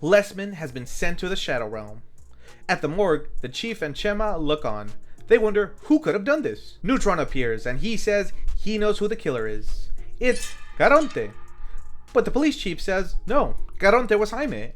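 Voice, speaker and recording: Guy doing a scripted movie recap, voice kinda high, really up and down, plays up the dialogue, bit of music behind. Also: sing-song, normal speed. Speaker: film review host.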